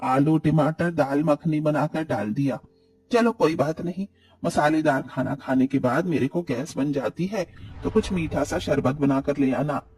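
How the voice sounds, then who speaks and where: A demanding older auntie from a cartoon moral tale, voiced by a man. The voice is fairly high for a man and husky, chattering on and finding fault. It is recorded slightly off the mic. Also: unhurried, a little mellow, far from the mic. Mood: fussy, demanding. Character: demanding older visiting aunt, a fussy, entitled woman.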